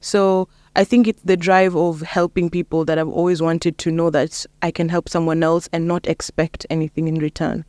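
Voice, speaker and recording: Clear-voiced young Kenyan woman. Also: on the low side, flat delivery, moderate pace, hardly any pauses, darkish tone, no room sound. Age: young adult. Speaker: interview guest, lawyer and mentor. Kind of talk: casual interview conversation.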